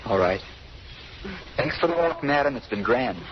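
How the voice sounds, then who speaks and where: An actor playing a gentle, modest man in love in an old radio drama, tender and reflective. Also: mid-range voice, animated, moderate pace, natural voice. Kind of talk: 1930s-40s radio drama acting. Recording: noisy dull old radio recording.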